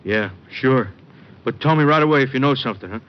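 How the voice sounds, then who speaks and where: An American actor playing a huge, earnest, simple-hearted wrestler in an old-time radio drama. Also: male voice, medium pitch, lightly acted. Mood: eager. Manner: plain, slow-witted sincerity. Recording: muffled, noisy vintage radio recording.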